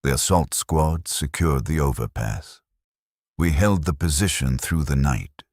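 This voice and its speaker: Male narrator, extremely low pitch, dry close sound, detached and solemn, measured storytelling with moving intonation. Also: a little mellow, no room sound. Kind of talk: scripted first-person war story narration.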